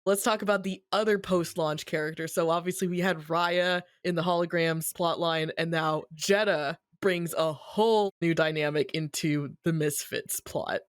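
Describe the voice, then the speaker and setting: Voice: medium pitch, steady tone, normal speed, hardly any pauses, no room sound, clean studio sound. A podcast co-host leading the talk in a wry, mock-serious, casual way.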